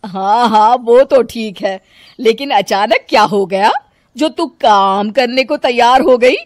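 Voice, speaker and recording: A woman's voice: on the high side, takes it slowly, no echo, studio quality. A woman voicing a taunting, bossy middle-aged mother-in-law in a cartoon story. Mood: scolding.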